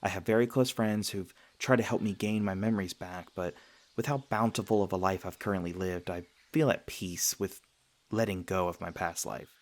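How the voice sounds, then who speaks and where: Deep-voiced, gentle male vampire, acted by a man. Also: steady tone, normal speed. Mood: gentle, reassuring. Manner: hesitant, soft-hearted, earnest, with stammers. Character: gentle, self-conscious male vampire, century old but youthful, sweetly flustered. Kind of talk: intimate ASMR roleplay addressed to listener.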